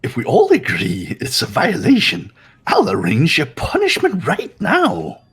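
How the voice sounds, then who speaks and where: Villainous robot bear mascot: theatrical, taunting, huge swoops in pitch, husky edge, music underneath. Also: male voice, huge pitch swings, a little slow, fairly bright tone, exaggerated voice. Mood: taunting menace. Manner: theatrical, mock-indignant. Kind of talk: fan-dubbed visual novel game acting.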